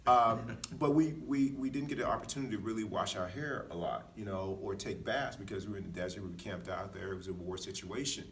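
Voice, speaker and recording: A man's voice: on the low side, quick pace, hardly any pauses, far from the mic, a little hiss or hum. A middle-aged American man telling personal stories casually in a candid, self-deprecating way, often saying "man" and "dude.". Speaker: podcast speaker, former Marine.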